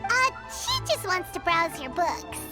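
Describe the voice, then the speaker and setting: A woman voicing a tiny, cheerful childlike fairy companion in a video game, squeaky, chirpy and eager. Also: wildly swooping pitch, a little slow, heavily acted. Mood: helpful. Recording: loud music behind the voice.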